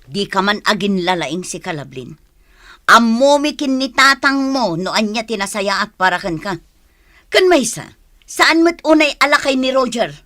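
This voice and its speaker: Scolding mother, sharp high voice. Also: sing-song, moderate pace. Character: scolding, disapproving middle-aged mother of a grown daughter. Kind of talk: radio drama acting.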